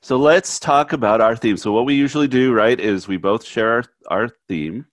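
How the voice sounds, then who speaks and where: A man hosting a conversational faith and psychology podcast, a little stuffy from a cold, relaxed, with frequent fillers and easy laughs. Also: medium pitch, moderate pace, no room sound. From United States. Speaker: podcast co-host, therapist.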